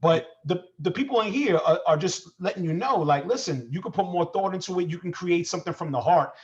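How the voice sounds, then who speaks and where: A man speaks with steady conviction in a relaxed panel chat, his voice sitting a little high for a man. The stream audio is somewhat dull and lo-fi. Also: brisk. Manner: earnest, preachy about art. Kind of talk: casual group discussion.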